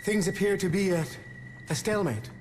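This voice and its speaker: Man playing higher-voiced, insinuating gentleman schemer. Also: moderate pace, noisy background, lightly acted. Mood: polite, cautious. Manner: polite, oratorical, insinuating. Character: deferential, scheming male gentleman urging monarchy. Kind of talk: dramatic video game acting.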